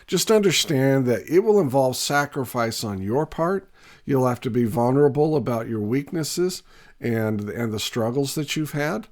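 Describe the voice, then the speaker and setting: A man with a raspy voice, still getting over a cold, teaches in a steady, earnest way. His pitch sits in an ordinary middle range, and he pauses often to think. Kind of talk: scripted teaching talk to camera.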